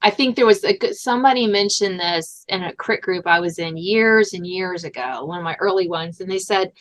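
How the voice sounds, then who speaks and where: A woman hosting a podcast in casual conversation, animated and informal, often saying "like" and "you know". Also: on the low side, a little fast. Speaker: podcast host and writer.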